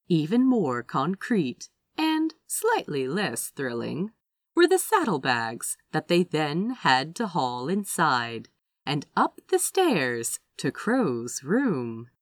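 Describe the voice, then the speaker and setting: A woman reading fiction aloud as an audiobook narrator, expressive and dramatic, lingering over her phrases. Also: mid-range voice, no echo, studio quality. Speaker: audiobook reader.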